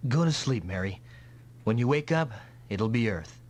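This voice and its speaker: A man's voice: medium pitch, lively intonation, moderate pace, a little mellow, slight background noise, lightly acted. A man playing a loyal, reassuring hero with earnest, dramatic delivery in an anime-style game dub. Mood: gentle, somber. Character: loyal, earnest heroic male comrade, reassuring.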